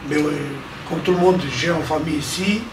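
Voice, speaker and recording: Man, a pastor, giving a halting self-introduction, roomy sound, distant mic. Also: fairly high pitch. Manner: halting, searches for words. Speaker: pastor in the audience. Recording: roomy, distant, noisy room.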